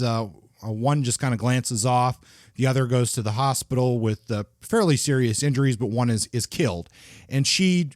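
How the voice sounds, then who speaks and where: A man co-hosting a book podcast, mid-pitched and recorded close and dry with no room sound. He talks in a casual, thoughtful way, often stopping to restart a phrase. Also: dry recording. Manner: thoughtful, halting, with frequent restarts and fillers. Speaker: podcast co-host.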